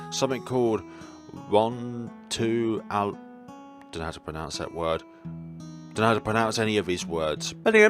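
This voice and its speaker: A man narrating a visual novel as a gaming host, in a casual let's-play style with asides of his own. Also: fairly low pitch.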